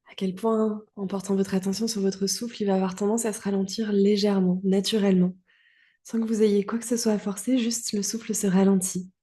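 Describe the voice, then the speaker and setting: A woman leads a guided yoga relaxation in a dark, relaxed voice. Her pitch stays very even and sits in a middle register. She speaks calmly and soothingly, leaving long pauses between short phrases.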